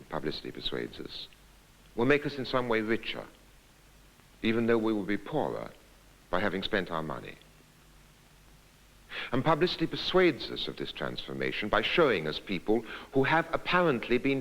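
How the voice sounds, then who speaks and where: A man giving scripted documentary narration in a measured, deliberate, reflective way. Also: on the low side, gravelly, a bit bright, poor audio.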